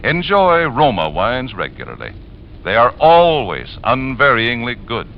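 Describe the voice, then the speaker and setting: A man's voice: mid-range voice, huge pitch swings, measured pace. A man announcing a scripted 1940s radio advertisement with polished, emphatic salesmanship. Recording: dull, faintly hissy 1940s radio recording.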